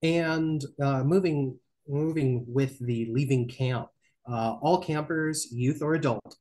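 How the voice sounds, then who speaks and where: A young man presenting camp rules from slides on a video call, reading points out with some fillers and restarts. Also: medium pitch. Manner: reads slide points, spells out links slowly, some fillers. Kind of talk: slideshow briefing. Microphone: webcam on video call.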